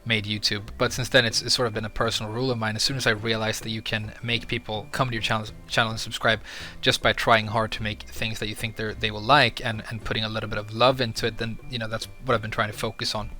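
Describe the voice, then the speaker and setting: A man's voice: fairly low pitch, little pitch movement, fast, bright, forceful tone. A young man from Sweden talking candidly to camera as a video creator, earnest and a little hesitant with fillers. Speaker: YouTuber. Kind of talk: casual talk to camera.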